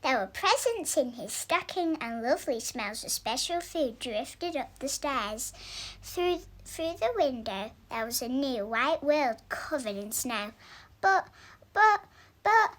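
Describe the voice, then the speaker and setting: A young woman reading a children's story aloud in an expressive, deliberate way, drawing out words for emphasis. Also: high-pitched. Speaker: storybook reader.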